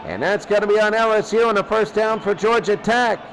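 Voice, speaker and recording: A man's voice: high voice, steady tone, a little fast, heavy background noise. A man with an American accent calling football play by play, exclaiming on big plays. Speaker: play-by-play commentator. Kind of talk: live American football commentary.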